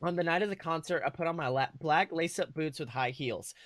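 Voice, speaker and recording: A man's voice: fairly high pitch, fairly quick, fairly bright tone, dry recording. A man co-hosting a podcast, reading a story aloud in a theatrical, mock-earnest way. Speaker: podcast co-host. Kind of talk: comic dramatic read-aloud of fan fiction.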